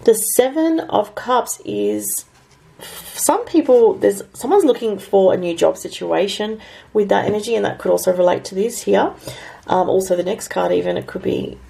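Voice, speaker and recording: An Australian woman with a raspy voice, still recovering from illness, giving a casual weekly astrology and tarot forecast in a rambling, thinking-aloud way. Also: mid-range voice, lively intonation, normal speed, warm tone, low-quality recording.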